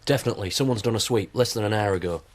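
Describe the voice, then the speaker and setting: A man's voice: lowish voice, rapid-fire, close to an everyday voice. An actor in a radio drama playing a terse, matter-of-fact male police officer reporting to his superior. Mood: flat, apologetic.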